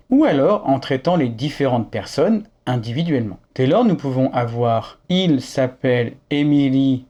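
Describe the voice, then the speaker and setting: Male language teacher, mellow voice, animated intonation, patient explainer. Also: mid-range voice, lo-fi sound. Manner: clear, patient explanations addressed to the learner. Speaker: French teacher. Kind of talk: scripted lesson narration.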